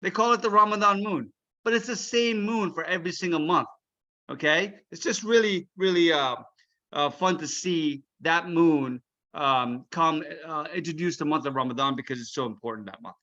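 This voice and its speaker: A man reading a children's storybook aloud as its author, expressive and checking in with his listeners. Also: fairly high pitch, moderate pace, highs cut off.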